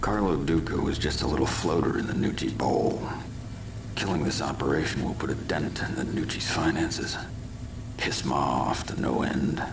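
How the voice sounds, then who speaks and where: A man playing a grim, world-weary, menacing vigilante in a video game, clipped and deadpan in a low, gravelly near-whisper. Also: normal speed, noisy background. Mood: grim brooding. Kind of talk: terse noir game narration and dialogue.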